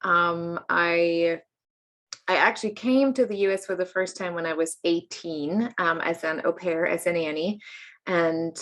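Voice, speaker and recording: A woman from Germany speaks in a fairly low voice. She is in her late thirties and tells her personal story in an interview, open and chatty, often breaking into laughter. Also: unhurried, a bit bright. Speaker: guest, coach and healer.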